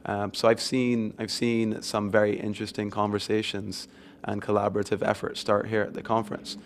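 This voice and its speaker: A man from Barbados answering interview questions in a measured, reflective way with frequent ums, speaking into a handheld mic at an event venue. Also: fairly low pitch, level tone. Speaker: interview guest. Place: event venue with windows, seated on sofa.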